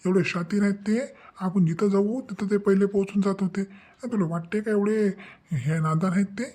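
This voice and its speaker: A man's voice: high-pitched, steady tone, moderate pace, mellow. A man voicing a disheartened, exasperated young family man in a cartoon, fretting and repeating himself as he rambles. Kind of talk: cartoon comedy-drama dialogue.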